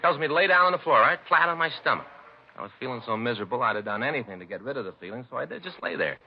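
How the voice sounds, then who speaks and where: A man's voice: medium pitch, animated, very fast, close to an everyday voice. An actor playing a put-upon American family-man detective, complaining in a folksy, rambling way, in 1950s radio drama style. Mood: aggrieved. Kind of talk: 1950s radio acting, conversational dialogue. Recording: dull vintage radio recording, faint noise.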